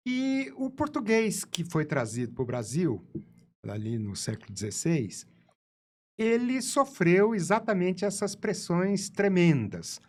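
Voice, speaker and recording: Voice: medium pitch, very animated, slow pace. A podcast host asking a guest questions, thinking aloud with hesitant fillers. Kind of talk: interview questions.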